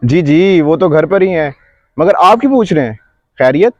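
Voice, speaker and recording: An actor playing an evasive younger brother making excuses over a phone call in an audio drama. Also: male voice, fairly high pitch, lively intonation. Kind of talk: Urdu moral audio drama acting. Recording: dull phone-call sound.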